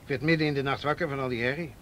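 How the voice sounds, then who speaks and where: A man playing an easygoing, dryly amiable policeman in a Dutch radio play, halting and repeating his words. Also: medium pitch, a bit bright, a little hiss or hum, close to an everyday voice.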